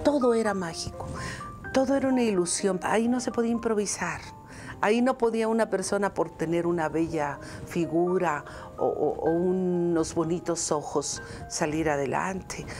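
A woman's voice: lowish voice, moderate pace, darkish tone, faint music behind. A woman reflecting in an interview with emphatic, repeated phrasing.

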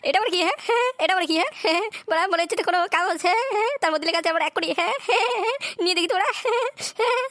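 A man's voice: extremely high pitch, rapid-fire, hardly any pauses, pushed and bright, no echo, clean studio sound. A man voicing a hungry, simple-minded village madman who begs pitifully and repeats himself in a comedy cartoon. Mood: puzzled, excited. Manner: repetitive, pleading. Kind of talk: crude adult comedy cartoon dubbing.

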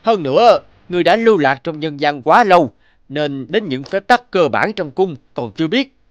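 An actor playing a treacherous royal chancellor in an animated fairy tale, formal and calculating. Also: male voice, on the high side, moderate pace, dry recording, highs cut off, close to an everyday voice. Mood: doubtful, formal.

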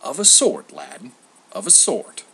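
A man voicing a cranky old male poet in a storybook, with a blustering, gruff, grumbling way of talking. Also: fairly high pitch, sing-song, slow pace, pushed and bright, voice pushed up, heavily acted. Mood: wry warning.

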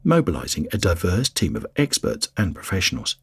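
A man reading a scripted documentary narration in a grave, measured way. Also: sits low, big pitch swings, slightly rough. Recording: faint music bed under voice-over.

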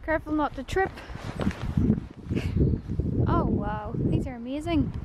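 A woman's voice: high-pitched, lively intonation, measured pace, very noisy. A woman vlogger talking casually and enthusiastically while walking outdoors through a woodland park, recorded on a handheld camera. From Northern Ireland. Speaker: travel vlogger.

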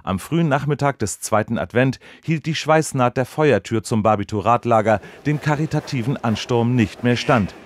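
A man plays an earnest male radio reporter in a mock-serious comedy broadcast. His medium-pitched voice is formal, polite and straight-faced, recorded very dry. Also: normal speed, hardly any pauses, dry recording, lightly acted. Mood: solemn, deadpan.

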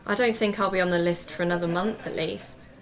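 A woman's voice: fairly low pitch, fairly even intonation, a little fast, close to an everyday voice. An actor playing an earnest, polite woman who investigates mysteries for a podcast, in scripted audio drama. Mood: polite, sympathetic. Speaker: podcast host and narrator.

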